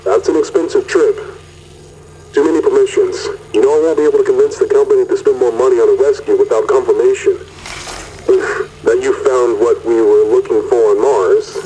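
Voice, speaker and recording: An actor playing a weary, candid corporate mission controller, husky, in a radio message that mixes official briefing with wry confiding, in a sci-fi audio drama. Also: male voice, mid-range voice, dark tone, slight background noise, low-quality recording.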